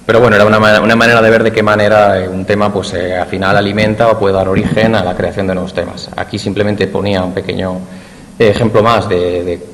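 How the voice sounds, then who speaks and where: Spanish lecturer in an echoey hall. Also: male voice, on the low side, level tone, quick pace. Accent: Spain. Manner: explanatory, addresses audience directly. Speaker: university lecturer. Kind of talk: academic conference talk. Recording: reverberant hall, faint noise.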